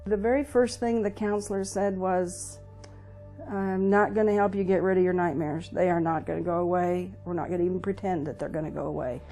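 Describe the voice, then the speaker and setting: An older American woman shares personal recollections in an interview. Her voice is mellow and warm, with a reflective, plainspoken and halting delivery. Music plays quietly behind her. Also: fairly low pitch, steady tone. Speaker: interviewee, veteran's wife. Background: music under the voice.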